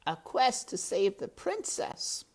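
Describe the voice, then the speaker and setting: A woman's voice: medium pitch, very animated, slow, darkish tone, pitched above the performer's own voice. A woman voicing a small, timid but earnest male mouse on a quest, speaking hesitantly. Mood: earnest nervous.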